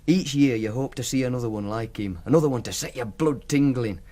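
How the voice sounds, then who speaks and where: A man's voice: medium pitch, brisk, warm tone, close to an everyday voice. A man acting in a radio drama as a cocky, teasing racing punter with a Yorkshire accent, speaking colloquially like a storyteller. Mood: nostalgic, wistful. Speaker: actor.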